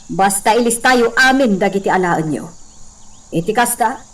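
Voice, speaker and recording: A woman's voice: medium pitch, animated, normal speed, close to an everyday voice. A woman with an Ilocano accent playing a businesslike estate mistress who gives orders in a radio drama.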